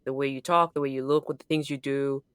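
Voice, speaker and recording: A woman's voice: a bass voice, fairly even intonation, quick pace, smooth, no echo, studio quality. A woman co-hosting a podcast in casual conversation, speaking in an affirming, reflective way. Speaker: podcast co-host.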